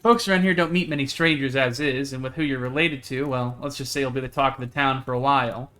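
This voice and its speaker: A man's voice: mid-range voice, fairly even intonation, fast talker, pushed and bright, close to an everyday voice. A man voicing a chatty, friendly small-town woman, reading a visual novel character in a folksy, rambling manner. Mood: friendly, casual.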